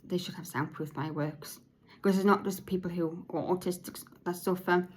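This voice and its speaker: Low-voiced woman talking earnestly. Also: a little hiss or hum. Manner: says her voice is sometimes monotone; halting, with frequent restarts and repeated words. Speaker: podcast host.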